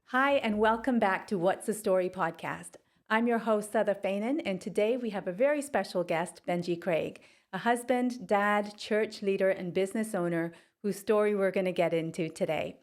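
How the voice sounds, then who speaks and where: Woman, podcast host, mid-pitched voice, friendly, quick to laugh. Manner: friendly, laughs easily, brief affirmations. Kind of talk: casual interview chat.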